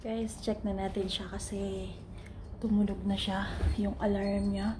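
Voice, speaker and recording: A woman's voice: mid-range voice, little pitch movement, unhurried, background noise. A young woman with a Filipino accent, chatting viewers through a recipe in her home kitchen, filmed on a phone. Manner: chatty, instructive, addresses viewers as guys. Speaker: cooking vlogger. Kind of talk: casual cooking tutorial.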